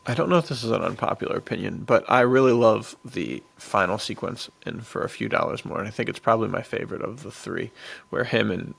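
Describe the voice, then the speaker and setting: A man's voice: on the low side, expressive, fast talker, vocal fry, a bit bright, husky. A man chatting casually as a podcast co-host in a laid-back, slangy way. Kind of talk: casual chat about western films.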